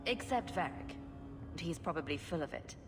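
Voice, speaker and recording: An actress playing a confident, dryly teasing young heroine in a video game cutscene. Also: female voice, sits low, animated, normal speed, music in the background. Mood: wry, calm. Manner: dry, teasing, self-assured.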